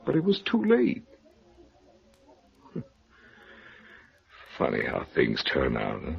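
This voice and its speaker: A weary, regretful wounded man in vintage radio drama. His low, husky voice drags slowly and haltingly, heard through a dull old recording. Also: wildly swooping pitch, full character voice. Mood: rueful, strained. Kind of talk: 1950s radio drama acting.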